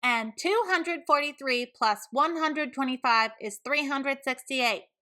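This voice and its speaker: A woman reads a picture book aloud for children. Her voice is bright and a little high, and she takes her time. She lands on key words with deliberate, dramatic emphasis. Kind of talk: expressive storybook read-aloud narration.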